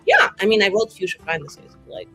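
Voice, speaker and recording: A woman's voice: mid-range voice, wildly swooping pitch, a little fast, fairly bright tone, soft background music. A woman playing a cheeky, catty young woman in a tabletop game, with casual, sarcastic delivery. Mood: mock-innocent needling. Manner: casual, filler-laden, sarcastic. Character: cheeky, catty young woman, falsely sweet, needling a rival.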